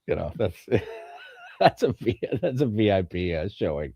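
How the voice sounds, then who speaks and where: Low-voiced man with slight lisp. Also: big pitch swings, measured pace, gravelly, warm tone, slightly rough, no room sound, dull audio. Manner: hesitant, laughs often. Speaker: podcast co-host. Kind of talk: casual football chat.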